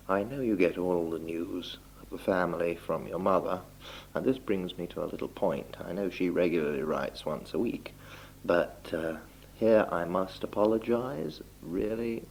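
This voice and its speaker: A young man from England talks in a deep, gravelly voice. He speaks informally but politely, pausing and choosing his words as he records a message for relatives. It sounds like a home reel-to-reel tape from the 1960s. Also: a little mellow, slight background noise, poor audio. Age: young adult. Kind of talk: spoken letter, informal monologue.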